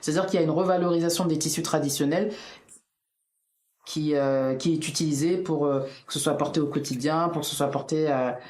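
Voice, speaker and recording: A man's voice: on the high side, steady tone, a little fast, darkish tone. A man who is a guest in a casual discussion, speaking hesitantly with many fillers. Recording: roomy echo.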